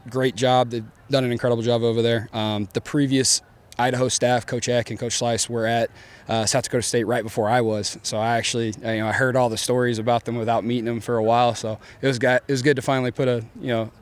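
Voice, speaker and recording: A man's voice: mid-range voice, little pitch movement, fast talker, clean tone. A man with an East Texas accent, a football coach giving casual, upbeat press conference answers full of fillers.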